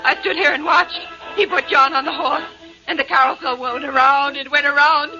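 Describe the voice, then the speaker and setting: Actress as high-pitched nervy murderess, old radio. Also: female voice, fairly even intonation, moderate pace, natural voice. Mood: hysterical. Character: nervy widowed murderess cracking into hysterical confession. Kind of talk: 1940s radio acting. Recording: dull vintage radio with loud music.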